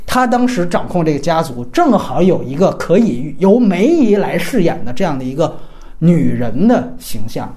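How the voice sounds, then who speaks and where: A man's voice: a little high, big pitch swings, normal speed, warm tone, husky. A man hosting a film discussion, giving his opinions analytically and emphatically, explaining point by point. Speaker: film podcast host.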